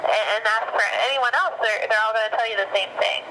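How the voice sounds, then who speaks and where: A woman handles a customer service call in a fairly high voice at a brisk clip. She is formal and unbending, giving procedural explanations. The phone recording has clear noise behind her. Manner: formal, firm, repeats set explanations. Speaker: customer service agent in a fraud and limitations department.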